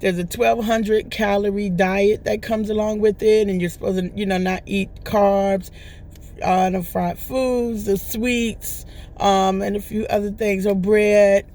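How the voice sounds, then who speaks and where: Hoarse lady rambling on her vlog, chatty, keeps going "right?". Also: medium pitch, steady tone, a little slow, dark tone, slight background noise. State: hoarse, recovering from lost voice. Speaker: vlogger. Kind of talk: casual testimonial chat.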